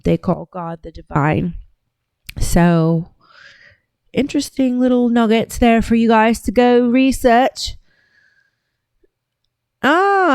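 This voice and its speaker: Southern-born woman livestreamer, chatty and wandering, smooth voice at a middle pitch. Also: a little mellow, dry recording, clean studio sound. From Georgia, US South. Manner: rambling, chatty, trails off and restarts. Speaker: livestream host. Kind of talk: casual livestream chat.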